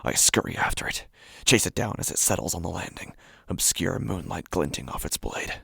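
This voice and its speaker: A man acting a first-person horror audio drama as a paranoid, sleepless man full of dread, in a breathy, halting voice that often drops toward a whisper. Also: sits low, expressive, bright, forceful tone, no room sound, studio quality, heavily acted. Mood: bitter, shaken.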